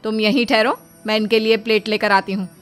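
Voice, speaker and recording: A woman's voice: mid-range voice, steady tone, a little fast, a bit bright, close to an everyday voice. Lady doing a haughty bossy mother-in-law in a story, middle aged, exasperated, faint music behind.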